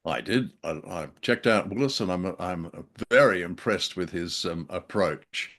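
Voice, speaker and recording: A man co-hosting a casual review chat, speaking in a dry, measured and somewhat hesitant way. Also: deep voice, a bit bright. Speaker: podcast co-host.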